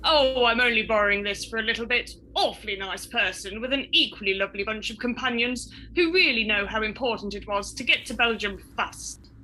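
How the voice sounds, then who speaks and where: Woman playing a bright, jovial, high-voiced pompous Tsar. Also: a little fast, slight background noise, poor audio. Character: genial, gullible, pompous Russian Tsar, a man. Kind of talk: comic radio drama acting.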